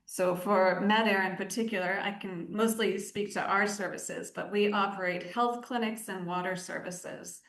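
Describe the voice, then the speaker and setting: A woman giving measured, articulate explanations as an aid director interviewed on the radio. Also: medium pitch, steady tone, fairly bright tone. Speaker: aid organisation country director, interview guest. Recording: roomy, echoing sound.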